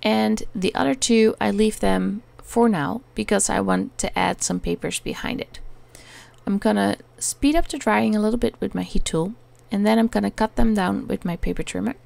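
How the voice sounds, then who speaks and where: A Dutch woman with a Dutch accent, talking through a crafting tutorial in a hesitant way, often pausing with "um" while she searches for words. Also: medium pitch, gravelly, a little mellow. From Netherlands. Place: home attic.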